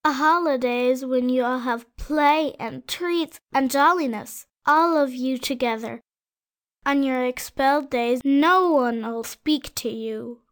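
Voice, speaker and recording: A tearful, earnest little schoolboy from a storybook. His voice sits very high and sounds quite unlike an adult's, and he pleads eagerly and sincerely. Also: slow pace, fairly bright tone, clean tone, full character voice. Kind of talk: storybook read-aloud.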